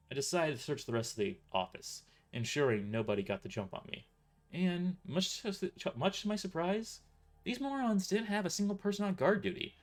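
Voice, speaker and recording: A man reading his own first-person story aloud as narrator, often stumbling and restarting his sentences. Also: mid-range voice. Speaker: author narrating his novel.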